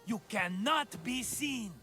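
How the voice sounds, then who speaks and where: An actor playing a flamboyant, scheming middle-aged merchant, a cheerful smooth-talker with a theatrical, wheedling manner in a video game cutscene. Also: male voice, very high voice, animated, takes it slowly, pushed and bright, faint music behind, heavily acted. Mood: excited, scheming.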